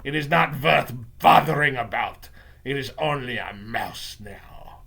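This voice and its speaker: A man voicing a menacing, imperious female witch leader in a storybook, with commanding, drawn-out delivery. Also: mid-range voice, expressive, gravelly, pushed and bright, breathy edge, heavily acted. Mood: contemptuous, commanding.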